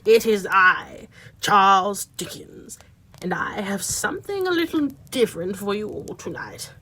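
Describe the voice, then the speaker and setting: A woman's voice: medium pitch, sing-song, measured pace, sharp tone, slight background noise. A woman playing a droll, grand storyteller, giving dramatic scripted narration for a radio play. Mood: grand, intimate.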